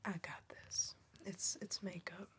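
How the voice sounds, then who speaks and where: A young woman playing a weary, defiant member of a backwoods crime family in an ASMR roleplay, in a hushed, halting breathy whisper. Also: lowish voice, flat delivery, close to an everyday voice. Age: young adult. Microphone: close ASMR microphone.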